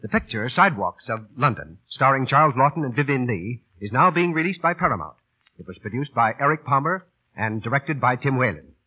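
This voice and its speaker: A man's voice: mid-range voice, big pitch swings, a little fast, husky. A man reading scripted announcements in a formal, declamatory 1940s radio style. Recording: muffled vintage radio, faint crowd noise.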